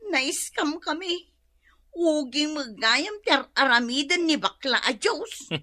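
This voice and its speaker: Shrill, brash village gossip. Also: female voice, slow, no room sound, studio quality, heavily acted. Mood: grumbling. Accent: Ilocano. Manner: loud, excitable, whining. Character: brash, nosy, quarrelsome village gossip woman. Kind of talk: comedic radio drama acting.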